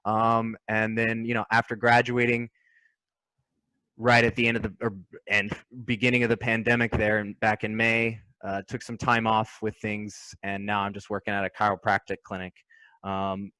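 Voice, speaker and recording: Male co-host, 20s, low even delivery, friendly rambler, dry close mic. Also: normal speed, clear, resonant tone, dry recording, rough recording. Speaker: podcast co-host. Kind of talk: casual chat.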